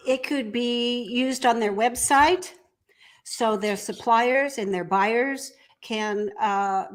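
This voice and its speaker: A woman interviewed as an entrepreneur guest, explaining a business service in a conversational way. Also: fairly high pitch.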